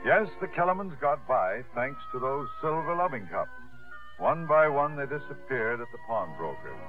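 An actor narrating a 1950s radio drama in a scripted, dramatic storytelling style. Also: male voice, mid-range voice, animated, normal speed, lightly acted. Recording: tinny old radio broadcast, music behind.

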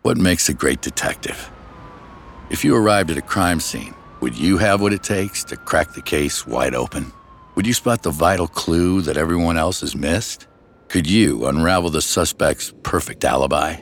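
A man's voice: a bass voice, moderate pace, a little hiss or hum. A man voicing a podcast trailer in a suspenseful, questioning promo style. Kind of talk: scripted promo voice-over.

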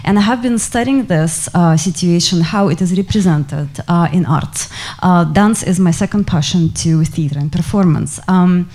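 A woman's voice: on the low side, moderate pace, dark tone. A woman with a Russian accent opening a live panel talk, speaking with many hesitant fillers. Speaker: panel moderator. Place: lecture hall before an audience.

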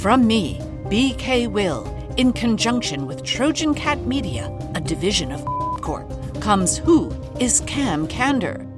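An earnest arts investigator narrating an audio drama. She speaks in a measured, articulate, dramatic way with lively swings of pitch, and music plays prominently behind her. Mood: solemn, intriguing. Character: B.K. Will, earnest intense investigative cultural obscurist narrating her search. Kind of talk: scripted podcast narration.

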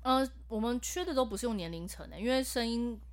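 A woman voice actor, explaining her work in a casual podcast chat. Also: fairly high pitch. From Taiwan. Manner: fast, explanatory and animated.

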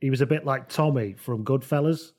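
A man's voice: medium pitch, brisk, a little mellow. A man co-hosting a casual comedy chat, telling stories in a wry, colloquial, sweary way. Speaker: podcast co-host. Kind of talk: casual comic storytelling.

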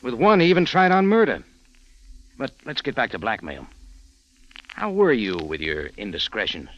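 An actor playing a tough, wisecracking American private eye in 1940s radio detective style, sardonic and slangy, both in dialogue and narration. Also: male voice, mid-range voice, sing-song, normal speed, natural voice. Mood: probing, wry. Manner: hard-boiled, sardonic, slangy. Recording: muffled old radio drama, music behind.

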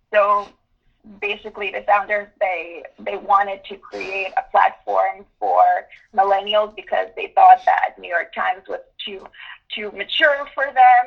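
A woman in her twenties, from Ukraine, answering interview questions with many pauses and restarts. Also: mid-range voice, gravelly, muffled recording. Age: 20s. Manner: thoughtful, with many ums and restarts. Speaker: guest journalist.

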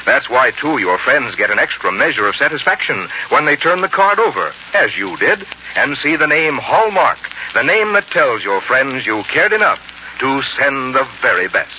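A man working as a 1940s radio announcer, reading scripted copy in a polished, persuasive, deliberate way. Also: mid-range voice, sing-song, bright, forceful tone. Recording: dull old radio broadcast, faint noise.

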